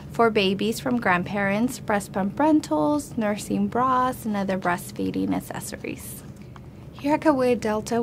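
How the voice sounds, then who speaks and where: A woman giving a practical, plain-spoken informational explanation as a hospital staff member promoting a service. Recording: clearly noisy background with low rumble.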